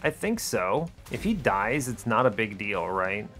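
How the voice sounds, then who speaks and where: A man's voice: on the low side, big pitch swings, a little slow, fairly bright tone. A man giving casual strategy game commentary, thinking aloud and questioning his own choices as he explains his reasoning. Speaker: gaming video host. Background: music behind the voice.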